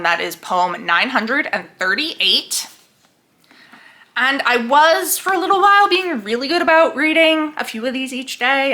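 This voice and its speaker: A young woman talking casually to camera about what she is reading, chatty and quick to laugh, acting out her own reactions. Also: fairly high pitch, sharp tone. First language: English. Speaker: book vlogger.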